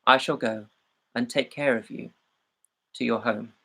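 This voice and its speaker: A man's voice: mid-range voice, steady tone, measured pace, bright, forceful tone. A man voicing a courtly young prince in a storybook, plain and courteous.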